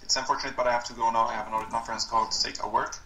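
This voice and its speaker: A man's voice: mid-range voice, level tone, rapid-fire, bright, forceful tone. A man speaking casually as a team member on an echoey, slightly noisy conference call line. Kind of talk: casual spoken sign-off.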